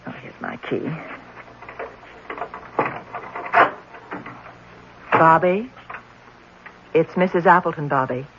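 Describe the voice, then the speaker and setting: Actress as a brisk orphanage matron, muffled old radio. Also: female voice, low voice, natural voice. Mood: brisk, unconcerned. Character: orphanage matron, Missus Appleton, brisk, coolly matter-of-fact woman. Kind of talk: old-time radio drama acting. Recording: dull, noisy old radio broadcast recording.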